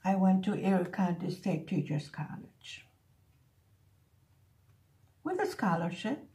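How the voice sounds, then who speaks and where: A woman in her seventies, a native Hungarian speaker who grew up in the USA, chatting casually and reminiscing with pauses as she works on a craft. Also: lowish voice, very animated, warm tone. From born in Hungary, grew up in the USA. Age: 70s. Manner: unhurried, pausing storytelling. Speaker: craft vlogger.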